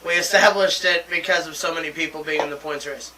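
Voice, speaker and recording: Male wrestling co-host, high, very sharp voice, casual analysis, a touch off-mic with faint noise. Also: fairly even intonation, fast talker, recorded from a distance, rough recording. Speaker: co-host of a wrestling talk show. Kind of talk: casual chat and sports analysis.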